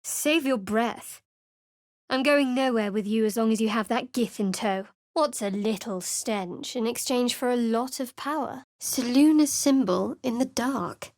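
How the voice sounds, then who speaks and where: A woman's voice: fairly high pitch, a little slow, a little mellow, dry recording. A woman voicing a guarded, sardonic young cleric adventurer in a video game, speaking dryly and warily with a cutting edge. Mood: cold. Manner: dry, wary, cutting.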